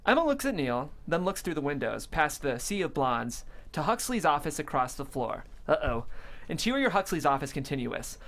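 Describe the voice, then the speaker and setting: A woman's voice: low voice, very animated, moderate pace. A woman reading stage directions as scripted narration at a comedy table read.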